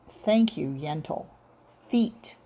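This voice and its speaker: A woman's voice: sits low, expressive, drawn-out words, dark tone, heavily acted. A woman voicing a wry, unflappable male police detective in a dry, understated manner.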